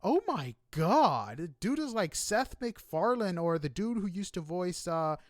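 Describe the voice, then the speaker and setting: A man's voice: high-pitched, sing-song, a little slow, dry recording, clean studio sound. A man hosting a podcast interview in an informal, effusive and enthusiastic way. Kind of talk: casual interview chat.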